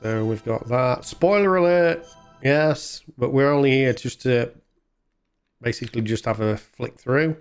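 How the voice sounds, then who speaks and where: A man's voice: medium pitch, unhurried, clean tone. A man giving casual, colloquial, self-deprecating commentary while playing a video game. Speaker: gaming video host. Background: video game music.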